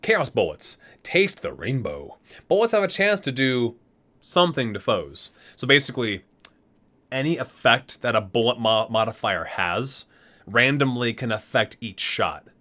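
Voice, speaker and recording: Male ASMR reader, medium pitch, lively intonation, expressive recitation with offhand asides. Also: a little slow. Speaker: video creator reading aloud from a game guide book. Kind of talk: ASMR reading with casual asides.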